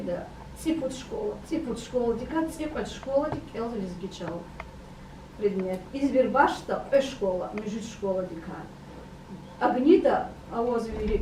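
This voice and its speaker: A woman researcher giving a round-table report, halting often with fillers and restarts. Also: on the high side, background noise. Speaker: education researcher. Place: meeting room. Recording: roomy hall from far off.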